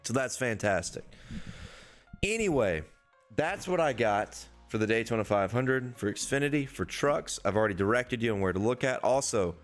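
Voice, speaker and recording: A hoarse man, a young adult, hosting a casual sports-betting preview and swearing freely in a loose, rambling way. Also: mid-range voice, animated, normal speed, faint music behind. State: hoarse, losing his voice. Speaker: sports betting podcast host.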